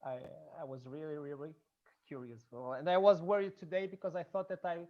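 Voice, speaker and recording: A native Portuguese speaker with a Portuguese accent, talking informally and haltingly in an online discussion, often repeating words. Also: mid-range voice, steady tone, muffled recording. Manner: hesitant, halting with repetitions. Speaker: audience member, student researching Blake and music.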